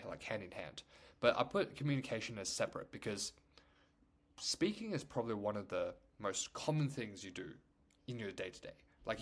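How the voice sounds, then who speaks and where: A man's voice: mid-range voice, fairly bright tone. A young man whose first language is Chinese, talking casually to camera as a YouTuber, rambling with lots of "like" and "you know". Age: young adult.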